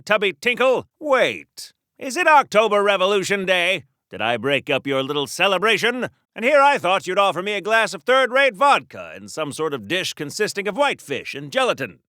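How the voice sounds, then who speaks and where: A man's voice: sits high, bright, forceful tone, no room sound, studio quality, heavily acted. A man playing an earnest, prim 1940s patriotic soldier superhero in a game, with quaint, polite and theatrical delivery. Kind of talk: golden-age comic book hero game dialogue.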